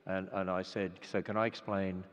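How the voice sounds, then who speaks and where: A man's voice: low voice, flat delivery, normal speed. An older man with an Australian accent, preaching in an earnest, conversational way full of restarts. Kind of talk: storytelling sermon. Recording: lo-fi sound in a reverberant hall.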